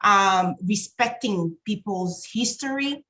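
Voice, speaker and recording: A woman's voice: medium pitch, lively intonation, bright, forceful tone. Thoughtful woman. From Haiti. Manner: thoughtful, halting, repeats words. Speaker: co-host. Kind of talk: casual talk show chat.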